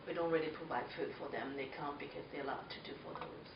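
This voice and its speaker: A woman from China, a student club president, speaks in simple phrases with some fillers. Her voice is on the low side, and she is recorded from a distance in an echoey room, with a loud hiss behind her. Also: fast talker, muffled recording. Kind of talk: casual group discussion.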